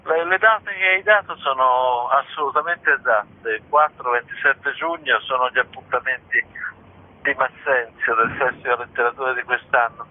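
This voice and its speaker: A man interviewed on the radio as a cultural institution's representative, explaining things in an articulate, orderly way. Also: mid-range voice. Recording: tinny, narrow phone line.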